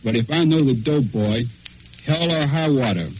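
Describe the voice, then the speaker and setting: Young American male soldier, mid-pitched, reading personal testimony over a dull, slightly noisy 1940s radio broadcast. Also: normal speed, darkish tone. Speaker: wounded soldier recounting combat.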